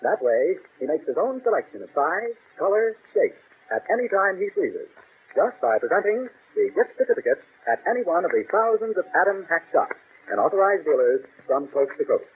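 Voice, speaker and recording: A man reading a brisk, salesy announcement for an old-time radio show. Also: sits high, big pitch swings. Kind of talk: 1930s radio announcer and ad read. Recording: lo-fi old radio broadcast, faint hiss.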